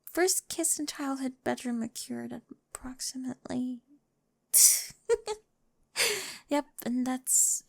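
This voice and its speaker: A nerdy young girlfriend, played by a woman, intense and affectionate, speaks in a high voice with plenty of fry. She is awkward and precise, often slipping toward a whisper. It is a close, very dry ASMR roleplay recording. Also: takes it slowly, long pauses, no echo, full character voice. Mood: tender, vulnerable. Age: young adult. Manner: hesitant, precise, often dropping to a breathy whisper. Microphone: close microphone.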